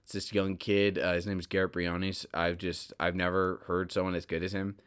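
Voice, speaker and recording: A man with a fairly low-pitched voice hosts a casual solo podcast. He speaks candidly in a loose, rambling way, often breaking off mid-thought to rephrase what he means. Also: flat delivery, normal speed, a bit bright, clean tone. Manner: rambling, self-interrupting, candid. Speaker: podcast host, audio drama writer.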